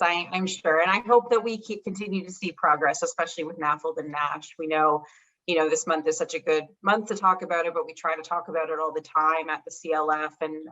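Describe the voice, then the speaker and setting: A woman's voice: low-pitched, gravelly, pushed and bright, highs cut off. A woman hosting an interview, friendly and chatty, with many "you know" and "um" fillers. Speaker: interview host for a health charity. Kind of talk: video call interview.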